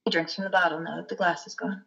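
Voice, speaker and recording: A middle-aged woman as a play's solemn narrator, deliberately reading stage directions and scripture. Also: lowish voice, fairly even intonation, gravelly, fairly bright tone. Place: lamp-lit room at home. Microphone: computer mic on a video call. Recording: muffled video-call audio.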